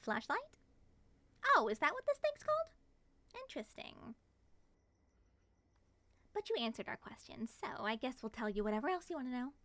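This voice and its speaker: Woman playing an animated, playful moth girl. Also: high-pitched, normal speed, long pauses, no echo, heavily acted. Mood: curious. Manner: expressive, coaxing, teasing. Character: playful, bubbly, possessive female moth-human monster. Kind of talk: ASMR roleplay.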